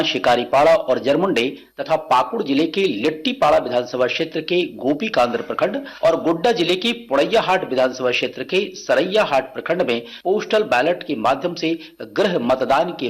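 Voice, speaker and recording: A man's voice: fairly high pitch, rough recording. A man reading a scripted radio news report as a correspondent, formal and factual.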